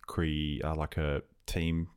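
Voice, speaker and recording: A man's voice: rumbling low, little pitch movement, slow, clean tone. A man co-hosting a podcast, giving casual opinions in a blunt, emphatic, sweary way. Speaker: podcast co-host. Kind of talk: casual chat, opinionated commentary.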